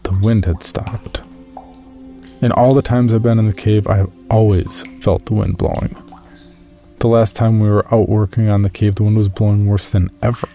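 A man's voice: lowish voice, level tone, moderate pace, dark tone, soft background music, close to an everyday voice. A man playing an amateur caver, reading his uneasy journal as first-person horror story narration. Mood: measured, uneasy.

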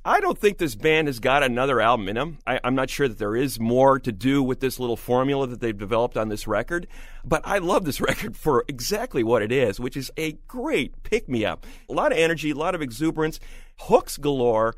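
Man, radio co-host, music review chat, medium pitch, lively swooping intonation, enthusiastic, dry recording. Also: fairly quick, no room sound. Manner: enthusiastic, emphatic. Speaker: music critic and show co-host. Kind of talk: lively album review discussion.

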